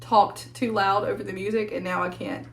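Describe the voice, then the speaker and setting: Vlogger woman with a hoarse, nearly lost voice, rambling casual chat, middle pitch. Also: steady tone, moderate pace, reverberant. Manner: chatty, rambling, filler-heavy. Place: at home indoors.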